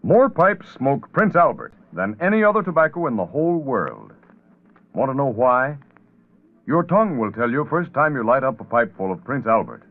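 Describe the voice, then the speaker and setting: A man's voice: mid-range voice, very animated, normal speed, no echo, narrow, tinny audio. An American man reading a scripted commercial in 1940s radio announcer style. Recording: thin band-limited 1940s radio broadcast.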